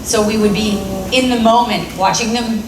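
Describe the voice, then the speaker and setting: A middle-aged woman with a somewhat high voice tells lively stories from the panel stage and acts out her past reactions. She sounds distant in a big echoey convention hall. A steady murmur of noise sits under her voice. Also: moderate pace. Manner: animated storytelling, acting out her old reactions. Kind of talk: casual panel chat. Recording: echoey hall from far off, noisy.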